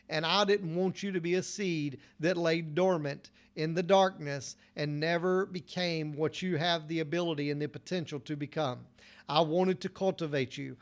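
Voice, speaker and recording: High-voiced man giving a motivational talk. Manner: repeats phrases for emphasis, preacher-like cadence; earnest and direct, addresses listeners as you. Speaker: motivational speaker.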